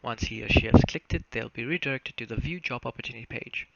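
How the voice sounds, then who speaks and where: A young South African man giving a formal, step-by-step narrated walkthrough of software. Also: medium pitch, quick pace, creaky. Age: young adult. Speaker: student software developer presenting a demo. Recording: muffled lo-fi mic, faint noise, clicks.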